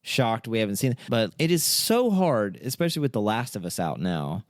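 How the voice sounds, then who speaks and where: A man's voice: fairly low pitch, big pitch swings, moderate pace, dry recording, studio quality. A young American man co-hosting a podcast, chatting in an informal, conversational way. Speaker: podcast co-host. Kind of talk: casual chat.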